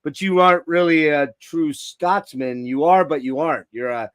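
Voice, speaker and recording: A middle-aged man hosting an interview on a video call, enthusiastic and quick to agree. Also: on the high side, clean tone, no room sound, studio quality. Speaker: podcast host. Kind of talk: casual interview chat.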